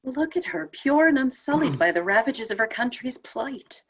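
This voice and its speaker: A woman playing a compassionate, questioning young saint from heaven in a play table read, with a wry, expressive delivery. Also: high-pitched, normal speed, breathy edge, exaggerated voice. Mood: tender, uneasy.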